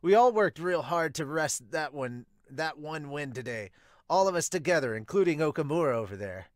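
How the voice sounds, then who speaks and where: An excitable, brash teenage ballplayer from a manga, acted out by a man. The voice is pushed up high and loud, eager and cheerful, in a dry close recording. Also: moderate pace, dry recording, natural voice.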